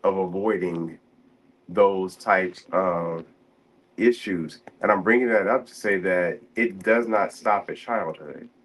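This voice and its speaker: A man's voice: low voice, slow pace, fairly bright tone, low-quality recording. A man talking as a show guest, giving earnest, conversational advice with emphatic repeated phrases.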